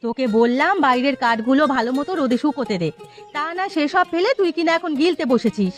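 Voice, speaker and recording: A woman voicing a brisk, caring village mother with a chiding manner in a cartoon. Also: high voice, animated. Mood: scolding. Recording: music under the voice.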